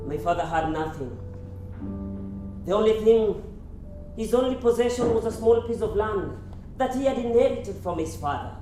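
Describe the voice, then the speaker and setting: A man's voice: sits high, animated, normal speed, long pauses, warm tone, faint music behind. A man with a Kenyan accent acting a polite, troubled young university student in a stage play. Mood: grateful, somber. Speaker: stage actor. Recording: very echoey hall, faint noise.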